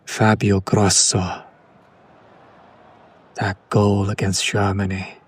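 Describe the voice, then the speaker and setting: A man playing a condescending, smitten kidnapper in an ASMR roleplay, speaking in a hushed, breathy, lingering way. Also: lowish voice, completely flat delivery, slow, full character voice. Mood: hushed, admiring. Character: condescending yandere male kidnapper, smitten with his captive. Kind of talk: whispered ASMR roleplay, slow soft recitation.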